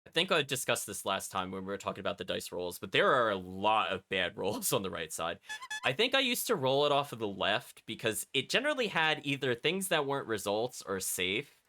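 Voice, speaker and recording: A man's voice: fairly low pitch, sing-song, a little fast, bright, forceful tone. A man giving casual live commentary as a video game streamer, thinking aloud and chatting to his viewers. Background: game music.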